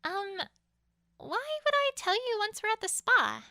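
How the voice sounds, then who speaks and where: A woman voicing an earnest, anxious young female unicorn in an expressive audiobook performance. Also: very high voice, a little slow, no echo, studio quality, full character voice. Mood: puzzled. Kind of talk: dramatized audiobook character acting.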